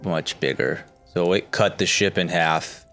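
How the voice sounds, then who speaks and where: A man player at a tabletop game, speaking in character in a halting way with ums. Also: deep voice, fairly bright tone, soft background music. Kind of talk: casual in-character play.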